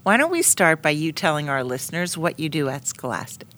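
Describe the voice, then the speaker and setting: A woman's voice: low-pitched, very animated, a little fast, fairly bright tone. A woman hosting a podcast, giving scripted introductions and asking interview questions. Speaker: podcast host.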